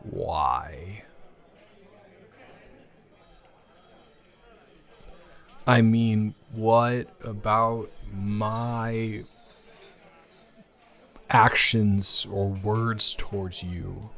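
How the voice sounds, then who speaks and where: Man acting a slow, gravelly smitten young lover. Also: on the low side, fairly even intonation, fairly bright tone, heavily acted. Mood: earnest, puzzled. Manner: hesitant, halting, earnest delivery. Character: smitten young man confessing love to his casual lover. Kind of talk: improvised romantic audio roleplay.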